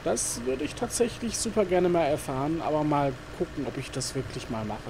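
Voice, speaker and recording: A man talks his way through a game in a loose, rambling let's-play style. His fairly high voice is full of fillers and asides muttered to himself. A faint noise sits under the recording. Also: very animated, a little fast. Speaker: gaming YouTuber. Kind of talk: casual let's-play commentary.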